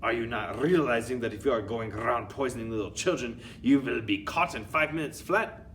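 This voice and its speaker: A man's voice: medium pitch, big pitch swings, moderate pace, fairly bright tone, recorded from a distance, a little hiss or hum. A man voicing a tyrannical witch queen, thundering and menacing with odd foreign phrasing. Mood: commanding.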